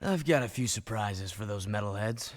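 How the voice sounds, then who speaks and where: An actor playing a dry, curt, defiant young male action hero in an animated video game. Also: lowish voice, moderate pace, close to an everyday voice. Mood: dry defiance.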